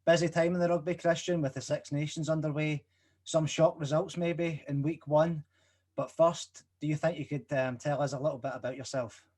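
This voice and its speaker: A man's voice: fairly high pitch, fairly even intonation, normal speed, a little mellow. A man hosting a sports podcast, giving a friendly welcome and introduction. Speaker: podcast host.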